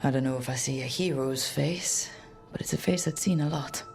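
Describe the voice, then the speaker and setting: Video game adventurer, female, deep register, creaky edge, wry, reflective, unhurried. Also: music in the background. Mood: wistful, reflective. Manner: unhurried, musing to herself. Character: weathered young woman adventurer, resolute, wry, reflective. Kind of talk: video game voice acting, reflective solo musing.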